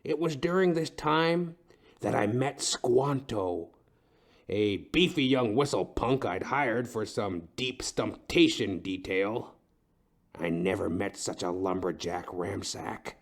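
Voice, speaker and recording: An actor playing a melodramatic, lovesick older timber tycoon with a pompous, theatrical way of talking in a comedic detective audio play. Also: male voice, lowish voice, takes it slowly. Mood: wistful, smitten. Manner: florid, theatrical, pompous storytelling.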